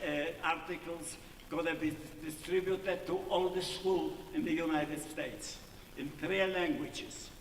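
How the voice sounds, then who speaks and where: Older man, Polish accent, high pitch, jovial teasing auction banter, halting phrasing, echoey room, noisy background. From Poland. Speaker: musician running a charity auction. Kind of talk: live auction banter with an audience. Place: large indoor hall at a live event. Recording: echoey hall, distant mic, clear room noise.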